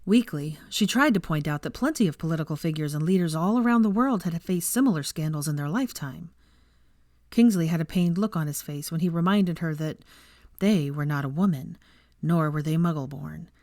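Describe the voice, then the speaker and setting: A woman's voice: low-pitched, brisk, a little mellow, no room sound, clean studio sound. A woman reading fiction aloud as a scripted story narrator.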